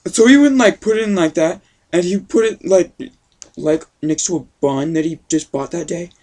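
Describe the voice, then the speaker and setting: An amateur acts out an old grandpa telling a homemade comic story. The delivery rambles in a folksy way, full of youthful slang. The pitch sits in a middle range. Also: moderate pace, a little mellow, close to an everyday voice. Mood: rambling storytelling. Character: totally wicked awesome old grandpa telling a tale.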